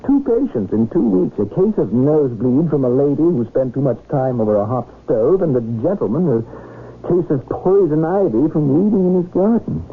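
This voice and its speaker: A man acting an earnest young struggling doctor and husband in an old-time radio drama, expressive and well-spoken, on a noisy recording. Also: a little high, moderate pace, husky, phone-quality sound, full character voice. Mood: dejected.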